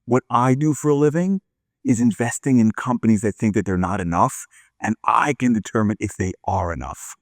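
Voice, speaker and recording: A man voicing a calm, self-assured elderly billionaire investor, plainspoken and fatherly as he gives advice in a told story. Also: fairly low pitch, moderate pace, dry recording, studio quality, natural voice. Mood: firm, paternal. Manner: plainspoken, paternal, deal-making.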